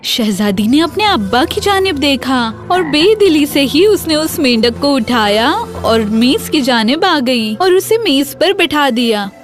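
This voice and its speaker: A woman narrating a scripted children's fairy tale in an expressive, dramatic storytelling manner. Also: high voice, a little slow, faint music behind.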